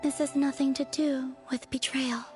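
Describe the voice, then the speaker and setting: A woman playing a weary, melancholy young moon goddess in a fantasy video game, speaking in a soft, breathy near-whisper with solemn, measured phrasing. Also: sits high, fairly even intonation, lightly acted. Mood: quiet disillusionment. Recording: background music under the voice.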